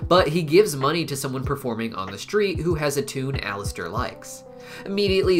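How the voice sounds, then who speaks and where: A man's voice: medium pitch, a bit bright. A male host reads a scripted video essay in an explanatory, conversational way. His mid-range voice rises and falls freely as he makes his points. Faint music plays behind him. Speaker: host of an animation explainer channel. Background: background music.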